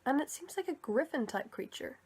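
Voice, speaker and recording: A woman's voice: high-pitched, lively intonation, fairly quick, darkish tone. A young woman giving casual, unscripted commentary in a tentative, musing way, as if thinking aloud. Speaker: vlogger sharing opinions on film characters.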